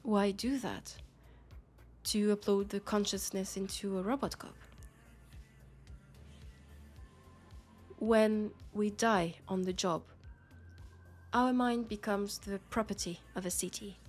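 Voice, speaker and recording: A woman's voice: mid-range voice, fairly even intonation, measured pace, a little mellow, faint music behind, natural voice. French-accented policewoman, grave and caring, acted by a woman. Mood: grave, confiding. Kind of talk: intimate sci-fi audio roleplay monologue.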